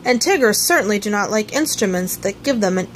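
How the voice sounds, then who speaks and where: A woman's voice: medium pitch, animated, fairly quick, slight background noise, natural voice. A woman voicing a bouncy, fussy, dramatic male tiger from a children's storybook, with emphatic delivery. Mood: complaining.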